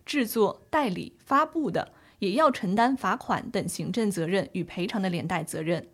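A woman's voice: medium pitch, close to an everyday voice. A woman playing an earnest, concerned grandchild seeking legal advice in a scripted educational audio drama.

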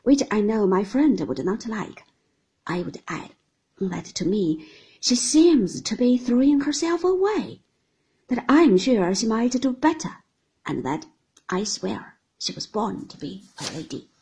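A woman voicing a confident young gentleman who speaks slowly and distinctly, in a breathy voice. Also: on the high side, expressive, exaggerated voice. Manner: measured, deliberate, slow and distinct.

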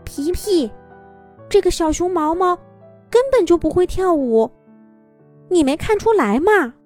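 Fussy young boy cat captain, high swoopy voice, soft kids' music behind, as a lady puts him on. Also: expressive. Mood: doubtful. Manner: fussy, doubtful, quick to fret. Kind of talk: children's storybook voice.

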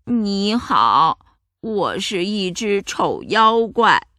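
A woman voicing a timid, slow-spoken male ugly monster in a children's story, with a hesitant, stammering delivery. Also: medium pitch, big pitch swings, pushed and bright, no room sound, clean studio sound, exaggerated voice. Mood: meek, slow.

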